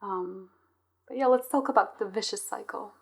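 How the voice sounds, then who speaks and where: A woman's voice: mid-range voice, normal speed, gravelly, breathy edge. A woman interviewing on a podcast in a conversational style, sharing personal examples.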